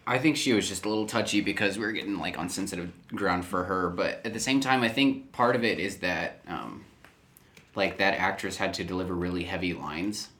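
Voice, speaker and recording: A very low-voiced man in a relaxed casual chat, co-hosting. He hesitates often and gropes for the right words. His sentences trail off before he lands on his point. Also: brisk. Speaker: podcast co-host.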